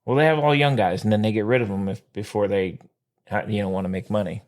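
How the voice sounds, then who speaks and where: A man co-hosting a podcast in casual sports chat, ranting with exasperation and jumping up in pitch when worked up. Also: fairly even intonation, fast talker, darkish tone. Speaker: podcast co-host.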